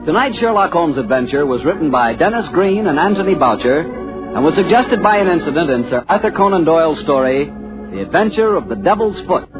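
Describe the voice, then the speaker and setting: A man announcing a 1940s radio show in a polished, smooth delivery. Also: a little high, big pitch swings, a little fast, over loud music, rough recording.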